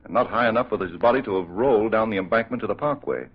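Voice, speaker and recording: An actor playing a reformed thief turned sleuth in a radio drama, a frank, wary and respectful companion. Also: male voice, fairly low pitch, fast talker, vocal fry, slightly rough. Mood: uneasy. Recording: dull, band-limited old radio recording.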